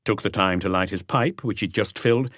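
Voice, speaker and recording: A man reading scripted literary narration for an audiobook in a measured, restrained manner. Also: fairly low pitch, fairly bright tone, dry recording, clean studio sound.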